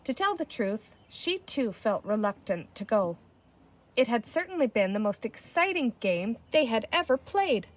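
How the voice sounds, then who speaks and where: A woman narrating a children's story aloud as an expressive audiobook reader. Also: a little high, normal speed.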